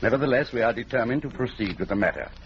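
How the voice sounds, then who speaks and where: An actor playing a courteous, businesslike gentleman in a measured, formal 1940s radio drama style. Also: mid-range voice, expressive, lightly acted. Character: businesslike, courteous Englishman in a detective story. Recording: dull, noisy old radio recording.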